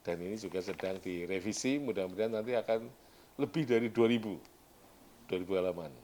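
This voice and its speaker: A man's voice: fairly low pitch, a little fast, mellow. A man, a language scholar explaining things in a documentary interview, thinking aloud with restarts. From Banyumas region, Central Java. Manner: thinking aloud, many restarts and tag words. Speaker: language scholar and dictionary compiler.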